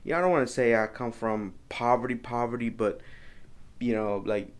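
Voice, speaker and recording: A man's voice: medium pitch, moderate pace, clear, resonant tone. A young man from El Salvador, talking earnestly to camera from his home office, often repeating and restarting his phrases. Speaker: business vlogger. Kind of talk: casual vlog talk to camera.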